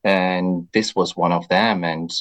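Low-voiced man with a Brazilian accent. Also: steady tone. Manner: reflective and measured, many soft fillers. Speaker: interview guest. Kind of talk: radio interview.

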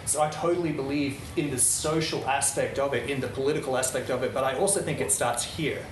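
A man's voice: medium pitch, fairly even intonation, a little fast. Earnest rambling man, distant roomy recording. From Netherlands. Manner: rambling, passionate, many restarts. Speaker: speaker addressing a small group. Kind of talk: earnest unscripted talk. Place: room with a live audience. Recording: roomy, distant mic, noisy.

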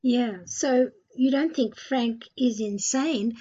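High, husky woman presenting. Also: slow, mellow. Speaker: radio host and story narrator. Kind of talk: scripted narration and presenting. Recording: slightly dull radio sound.

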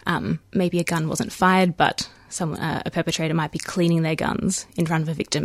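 An Australian woman in her thirties, a guest on a community radio show, explaining at length in a measured way with frequent ums. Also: low voice, gravelly, breathy edge, no echo. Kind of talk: radio interview. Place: radio studio.

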